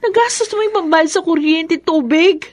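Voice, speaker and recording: A woman's voice: extremely high pitch, moderate pace. An actress playing a long-suffering, pleading young working mother in a radio drama, with a Filipino accent. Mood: exasperated.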